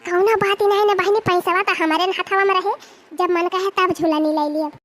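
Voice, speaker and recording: Squeaky, very high-pitched Awadhi village wife. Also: steady tone, normal speed, fairly bright tone. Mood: excited. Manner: melodramatic, exclaiming and wailing. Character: excitable rustic village wife, money-proud, loudly dramatic. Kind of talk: exaggerated cartoon comedy voice acting.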